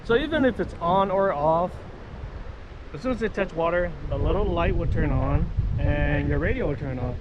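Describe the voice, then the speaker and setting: Male vlogger, higher-than-average voice, informal off-the-cuff demo delivery, windy and noisy outdoor action-camera sound. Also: animated, moderate pace, highs cut off. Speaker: vlogger demonstrating marine VHF radios. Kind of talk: casual, improvised product demonstration. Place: outdoors by the water. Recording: windy outdoor recording with water noise.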